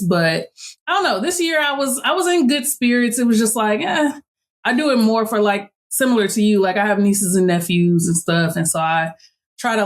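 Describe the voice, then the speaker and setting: A woman in her late thirties from Chicago, hosting a podcast in a relaxed, chatty way and laughing easily. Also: medium pitch. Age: 30s. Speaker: podcast host. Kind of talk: casual chat.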